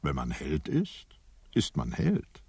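A man's voice: very deep voice, huge pitch swings, slow, vocal fry, darkish tone, husky, no room sound, studio quality, exaggerated voice. A man narrating a comic radio play as a grand, ironic storyteller. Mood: wry, grand. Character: mock-heroic male storyteller, grand and ironic.

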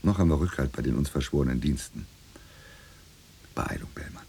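Deep, husky, gruff secret police boss. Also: male voice, fairly quick, warm tone, heavily acted. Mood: commanding, urgent. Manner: blunt, commanding, sloganeering. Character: gruff old-school Stasi superior, impatient, dogmatic, male. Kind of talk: radio drama acting.

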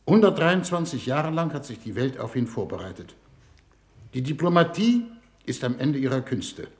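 A man playing a grave, authoritative foreign minister, speaking formally and with measured oratory in a 1950s radio drama. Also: medium pitch, big pitch swings, darkish tone, close to an everyday voice. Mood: grave, solemn.

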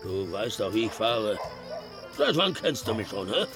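Cranky boozy farmer uncle, cursing and scolding, voice jumps all over, music behind. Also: male voice, fairly low pitch, big pitch swings, moderate pace. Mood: gruff, scolding. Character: loud, cantankerous, hard-drinking uncle and pig farmer. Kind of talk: radio drama acting.

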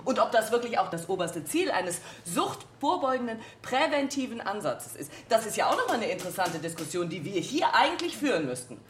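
An actress playing a cautious, evasive state secretary who gives a wordy, bureaucratic statement in a radio drama committee scene. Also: female voice, mid-range voice, very animated, a little fast, hardly any pauses, soft background music, close to an everyday voice. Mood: guarded. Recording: roomy, faint background noise.

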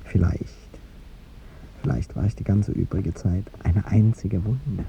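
An actor playing an aging president in a 1950s German radio play, a resigned older lover speaking with hesitant, dry irony. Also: male voice, deep voice, a little slow, creaky. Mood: gently ironic. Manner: understated, hesitant, dryly wry. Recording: dull, noisy 1950s radio recording.

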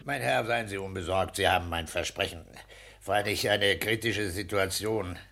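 Pompous petty-bourgeois husband, husky, hard-edged forceful tone, declamatory, self-satisfied, 1960s radio play. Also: male voice, low voice, moderate pace, heavily acted. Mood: indignant. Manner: pompous, declamatory, bullying joviality.

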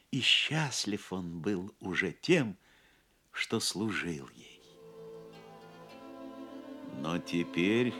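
A man narrating an audio play as a dramatic storyteller, theatrical and measured. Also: low-pitched.

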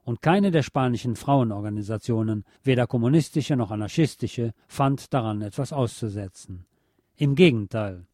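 A man narrates a scripted documentary feature in a measured, factual way. His voice is soft-edged and mellow at a middle pitch, captured very dry with no sense of a room. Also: dry recording. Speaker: radio presenter reading a historical feature.

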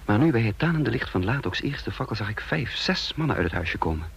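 An actor playing a tense commando leader who narrates a night raid and gives clipped, hushed orders in a radio play. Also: male voice, lowish voice, big pitch swings, brisk, creaky, dull audio, a little hiss or hum. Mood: grave, suspenseful.

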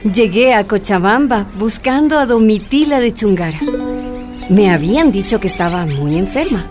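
A woman's voice: medium pitch, expressive, moderate pace, close to an everyday voice. An actress playing a woman interviewer who narrates her own account in a scripted radio drama. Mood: reflective narration. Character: woman interviewer recounting her search for someone. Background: plucked string music.

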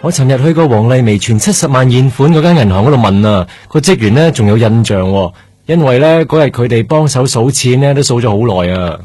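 Keen junior cop, Hong Kong accent, husky medium-pitched voice, eager radio drama delivery. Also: male voice, animated, a little fast, a little mellow, soft background music. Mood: attentive. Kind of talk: Cantonese radio drama acting.

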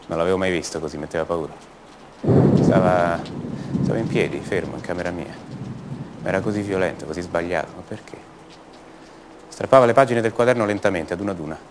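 An actor playing a passionate, rebellious young poet from a rich family in a radio theatre monologue, confiding and halting, repeating his words. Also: low-pitched, a little hiss or hum, lightly acted. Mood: hushed, bitter.

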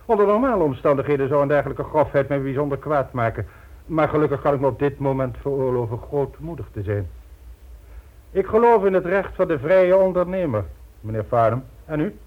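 A smug crime syndicate boss posing as a gentleman philanthropist. He is mockingly polite and pompous, with swooping, animated intonation. It is an old radio drama with a slightly dull, muffled sound. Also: a little high, a little fast. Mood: grandiose, self-assured. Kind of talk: radio play acting.

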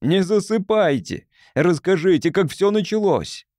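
A man's voice: sits high, animated, measured pace, darkish tone, dry recording, studio quality, voice pushed up. A man voicing an anxious, eager male explorer who pleads insistently in a trembling way. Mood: pleading insistent. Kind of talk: audiobook character voice.

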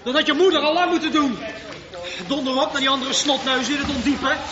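An actor playing an aggressive, coarse, threatening bully at a swimming pool in a radio drama. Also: male voice, very high voice, steady tone, a little fast. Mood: furious. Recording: echoey, dull, crowded swimming pool scene. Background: clear crowd noise.

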